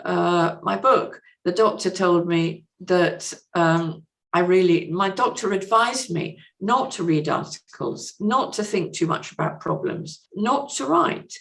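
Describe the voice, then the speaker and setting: A woman's voice: fairly low pitch, slow, vocal fry. A woman speaking as a podcast guest and translator, in a thoughtful, hesitant conversational way with explanatory asides. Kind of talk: conversational interview, reading out a message.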